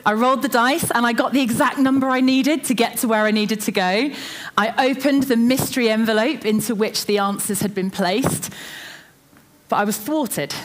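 Chatty woman preaching. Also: a little high. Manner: chatty, self-deprecating storytelling. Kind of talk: sermon. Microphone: microphone.

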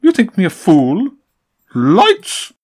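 A man voicing a scheming male pigeon leader who speaks in a high, jolly-sounding voice that turns menacing. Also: sing-song, slow pace, darkish tone, slightly rough, exaggerated voice.

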